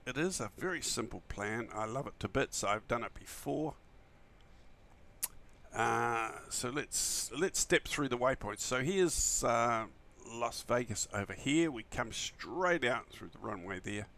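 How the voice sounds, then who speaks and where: A man with a croaky, just-woken voice, chatting casually and enthusiastically as a friendly flight simulator streamer who rambles a little. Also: mid-range voice, pushed and bright. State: early-morning croaky voice, still waking up. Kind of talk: casual livestream chat.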